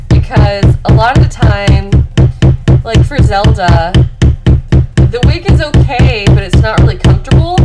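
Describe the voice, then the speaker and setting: A young woman chatting casually to viewers as a livestream host, playful and self-deprecating, with game music playing behind her. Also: mid-range voice. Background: video game remix music.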